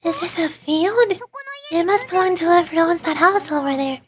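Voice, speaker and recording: A woman's voice: moderate pace, a crowd in the background. A woman voicing a tiny, curious, cheeky fairy companion from a fantasy game, with a small, perky voice. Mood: inquisitive. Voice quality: very high, small. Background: game music.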